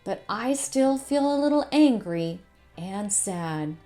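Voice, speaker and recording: A woman's voice: mid-range voice, animated, slow pace, soft background music. A woman voicing an angry, upset little boy who whines and insists in a children's storybook. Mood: sulky. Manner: whiny, stomping, shouting insistence. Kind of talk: children's storybook read-aloud.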